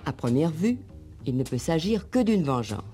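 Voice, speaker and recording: A woman's voice: low voice, big pitch swings, moderate pace, warm tone. A woman narrating a radio drama from a script, in an old broadcast recording. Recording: 1960s radio recording over guitar music. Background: plucked guitar music.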